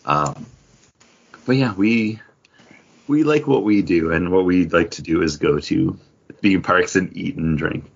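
A man with a low-pitched, distinctly husky voice chats casually as a podcast co-host. His audio is a little muffled and lo-fi. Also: unhurried, pauses often. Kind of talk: casual chat.

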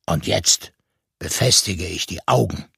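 A man acts out a bearded craftsman from a storybook. His voice is deep and grave, slow and dry, with calm pride in his handiwork. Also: creaky, husky, no room sound, full character voice. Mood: grave, matter-of-fact. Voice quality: deep, serious. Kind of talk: storybook reading.

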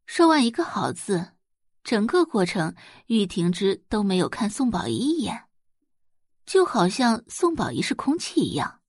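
A woman's voice: medium pitch, big pitch swings, moderate pace, a little mellow, breathy edge, dry recording, studio quality. A woman narrating a dramatic audiobook story from a script.

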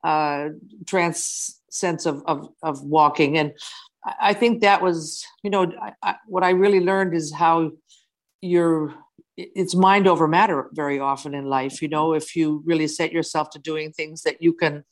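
A guest in a casual interview whose first language is Dutch speaks in a halting way, often restarting while searching for words. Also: medium pitch, fairly even intonation.

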